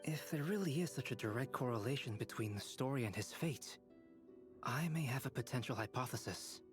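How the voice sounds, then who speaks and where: An actor playing a calm, scholarly young man in a video game, explaining his reasoning in a measured way. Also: medium pitch, music in the background, lightly acted. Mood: thoughtful. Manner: measured, analytical.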